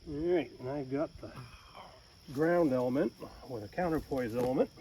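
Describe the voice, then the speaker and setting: A man's voice: mid-range voice, moderate pace, mellow, a little hiss or hum, rough recording. A man chatting casually and explaining a hands-on job as he works, with dry, teasing banter. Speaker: amateur radio hobbyist hosting a homestead vlog. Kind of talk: casual chat and narrated how-to while working. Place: outdoors at a rural camp in woods.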